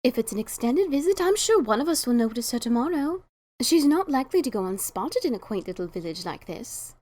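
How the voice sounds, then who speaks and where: A woman's voice: fairly high pitch, big pitch swings, brisk, darkish tone. A woman voicing a refined young female cartoon pony with a genteel, understated manner in a story reading. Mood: composed, wary.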